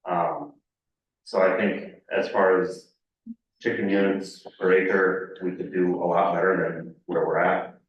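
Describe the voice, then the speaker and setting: A very echoey, distant recording of an American man with a low voice. He gives plain-spoken, halting public comment as a town resident. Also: little pitch movement. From Connecticut, United States. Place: indoor public meeting room. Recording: very echoey meeting room, distant muffled mic.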